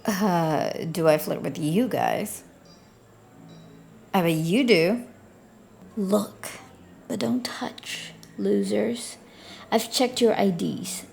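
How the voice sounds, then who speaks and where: A woman performing a scripted audio roleplay as a flirty, teasing bartender, speaking softly and often near a whisper. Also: medium pitch, gravelly, a little hiss or hum.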